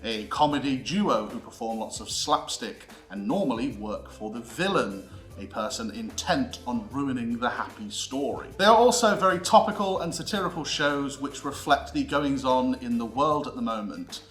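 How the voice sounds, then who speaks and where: A man's voice: medium pitch, expressive, moderate pace, a bit bright. British man lecturing theatrically in an echoey room. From United Kingdom. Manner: theatrical, mock-lecturing, emphatic. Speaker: presenter of a theatre history series. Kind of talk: scripted educational narration with comic asides. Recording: roomy echo with music underneath. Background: background music with guitar.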